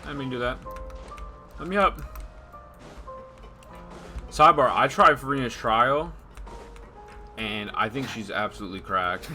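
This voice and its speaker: A man's voice: medium pitch, unhurried, long pauses, sharp tone, faint music behind. A young man streaming a game with casual reaction commentary, interjecting in slang and swearing freely.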